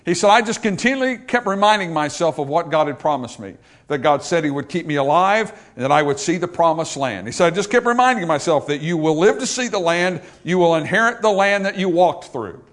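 A man preaching a sermon, swinging from loud emphatic peaks to quiet low asides. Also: animated, fairly quick, few pauses.

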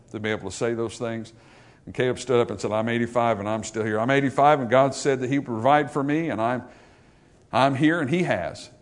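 A man preaching a sermon, swinging from loud emphatic peaks to quiet low asides.

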